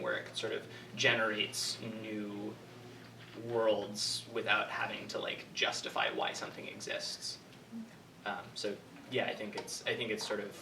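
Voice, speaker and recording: A young man answering questions informally as a writer, haltingly thinking aloud and hedging as he goes. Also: on the low side, sharp tone. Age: young adult. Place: indoor room with an audience. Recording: echoey room from far off, clear background noise.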